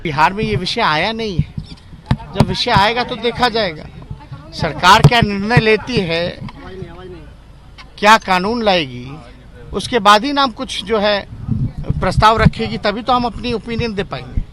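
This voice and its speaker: A man's voice: high-pitched, a little slow, pauses often. A man answering the press informally as a politician outdoors. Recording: loud outdoor traffic noise.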